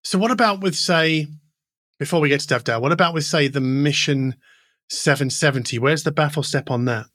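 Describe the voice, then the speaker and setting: A man's voice: a little high, brisk, fairly bright tone, no echo. Middle-aged man, very dry close sound. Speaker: podcast host interviewing an audio engineer. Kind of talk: conversational technical interview.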